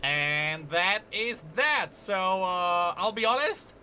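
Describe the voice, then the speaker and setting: A man hosting casual game lore commentary in an animated, explanatory way. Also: high-pitched, slow, slight background noise. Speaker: lore commentator and host of reaction show.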